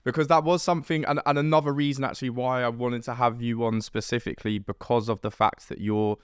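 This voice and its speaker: A man hosting a podcast, asking rambling questions with restarts and backing the guest with steady murmurs. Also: mid-range voice, quick pace, smooth, dry recording, clean studio sound. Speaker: podcast host. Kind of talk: casual interview.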